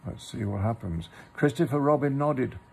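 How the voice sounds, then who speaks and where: A man reading a children's story aloud in a measured way with pauses and informal asides. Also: mid-range voice, expressive, vocal fry, darkish tone, a little hiss or hum. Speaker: storybook reader.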